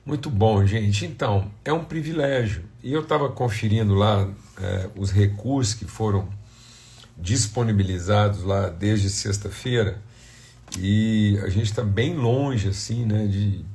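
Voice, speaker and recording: A Brazilian man leads an informal devotional as a pastor, talking to listeners like family. His voice is low and mellow, with plenty of gravelly creak. He sounds a little hoarse and stuffed up. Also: a little slow. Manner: conversational, addressing viewers as family and brothers. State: slightly hoarse and congested. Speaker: pastor hosting a devotional livestream. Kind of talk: informal devotional talk with prayer.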